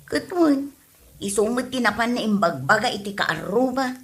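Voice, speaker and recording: Sassy gossiping village woman acted by a woman, Ilocano accent, sharp bright voice, fairly high, comedy drama. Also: animated, moderate pace. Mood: indignant. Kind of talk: Filipino comedy audio drama.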